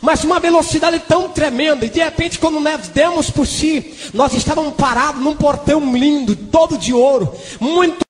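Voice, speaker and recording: A Brazilian man preaches in a very high-pitched voice, with long reverb trailing behind him as in a big hall. His Pentecostal testimony is delivered fervently, full of exclamations and bursts of speaking in tongues. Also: moderate pace, hardly any pauses, faint music behind. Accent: Brazilian Portuguese.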